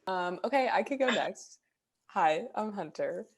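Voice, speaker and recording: A woman's voice: medium pitch, animated, a little slow. A young adult woman co-hosting a casual podcast over a video call, talking hesitantly with many fillers and restarts. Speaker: podcast co-host. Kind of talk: casual chat.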